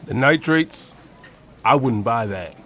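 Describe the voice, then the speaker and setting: An actor playing an older retired professor, a preachy zealot who rambles earnestly and emphatically in an audio drama. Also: male voice, mid-range voice, animated, takes it slowly, fairly bright tone. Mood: indignant.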